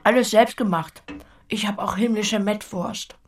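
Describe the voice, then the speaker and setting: A woman's voice: medium pitch, a little slow. An actress in an audio drama playing an earthy, lonely farm woman who speaks in a plain, musing, matter-of-fact way, blunt but tender. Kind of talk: audio drama acting with inner monologue.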